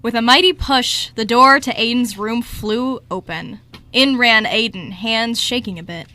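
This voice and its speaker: Bright-voiced woman narrating a story. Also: fairly high pitch, a little slow. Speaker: narrator and radio show host.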